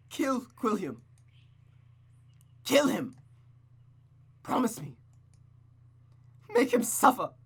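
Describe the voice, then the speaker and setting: A woman voicing a cruel, domineering mother in a visual novel, with theatrical, drawn-out scorn. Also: sits low, breathy edge, full character voice. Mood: menacing, vengeful.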